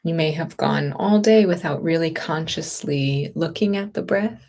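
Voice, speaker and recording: A woman leading a guided meditation and gentle movement practice as a teacher, speaking in a gentle, unhurried, reassuring way. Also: deep voice, gravelly.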